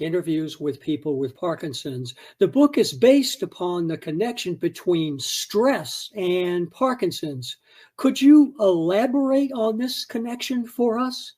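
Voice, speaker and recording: A man's voice: sits high, animated, a little slow, warm tone. A man hosting an interview, introducing a guest in a formal, courteous way. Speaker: host.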